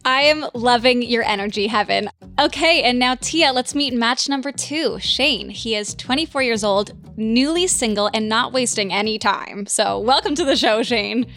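A woman's voice: fairly high pitch, moderate pace, fairly bright tone. A woman hosting a dating podcast, upbeat and playful, with faint music behind. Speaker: host.

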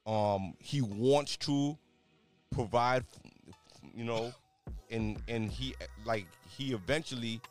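A man with Haitian parents making his case in a casual podcast debate, earnest and stumbling over words, often set a bit back from the mic. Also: medium pitch. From United States, Haitian parents. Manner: earnest, repeats "you know what I mean", stumbles over words. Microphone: told to talk closer to the mic.

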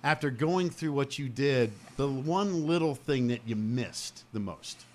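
A man hosting a sports talk show, asking long, rambling questions in an effusive, animated way. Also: mid-range voice, measured pace. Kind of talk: enthusiastic interview questions.

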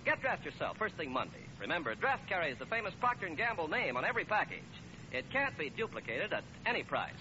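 A male announcer in the style of 1940s radio, delivering scripted copy. His voice is high and very animated. The broadcast recording is muffled and noisy. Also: quick pace.

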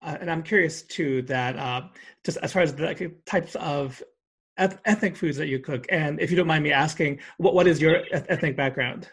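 A man's voice: fairly high pitch, moderate pace, a bit bright, husky. A man hosting a casual interview, asking polite, hesitant questions. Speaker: interviewer, podcast host.

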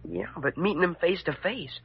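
A man's voice: high voice, normal speed, breathy edge. A male actor playing a nervous, earnest young boy with a hesitant, plain-spoken way of talking in an old-time radio drama. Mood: scared, doubtful. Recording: noisy, muffled old radio recording.

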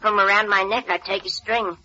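Bright husky rhyming little alien man. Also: mid-range voice, level tone, brisk. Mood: earnest. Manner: speaks in singsong rhyming couplets, flowery and hesitant. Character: small odd male alien from planet Utopia, anxious and pleading. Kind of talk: 1940s radio serial acting. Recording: dull lo-fi old radio recording.

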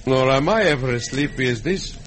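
Clear noise of an old radio show surrounds a man acting a drowsy Mexican cowboy hero. He is courteous yet suspicious, his medium voice rising and sagging widely with sleepiness. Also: medium pitch, animated, fairly quick, close to an everyday voice. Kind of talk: 1940s-1950s radio acting. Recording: noisy old radio drama recording.

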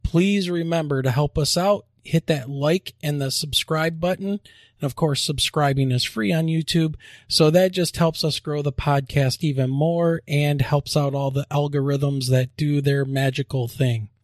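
A man's voice: on the high side, fairly even intonation, measured pace, dry recording, clean studio sound. Upbeat, chatty male podcast host. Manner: upbeat and chatty, laughs easily. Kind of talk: casual podcast chat.

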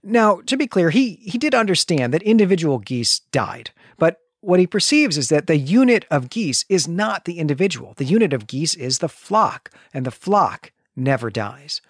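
A man co-hosting a book podcast, retelling a chapter in a lively storytelling way. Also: a little high, normal speed, hardly any pauses, husky, dry recording, studio quality. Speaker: podcast co-host. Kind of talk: book-club podcast recap.

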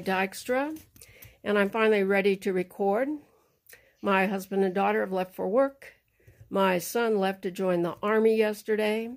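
A middle-aged woman poet speaking in a personal, reading style, recorded in a quiet room at home. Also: mid-range voice. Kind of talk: personal introduction and poetry reading.

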